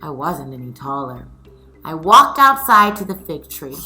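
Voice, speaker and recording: Female children's read-aloud teacher, slow and bright, expressive and instructive, music behind. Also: low voice, low-quality recording. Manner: instructive, asks listeners questions and pauses; expressive storybook reading. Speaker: teacher reading aloud to pupils.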